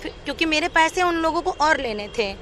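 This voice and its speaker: A woman's voice: high-pitched, expressive, fairly quick, a bit bright. A young woman giving a personal account in an interview, recounting her grievances at length.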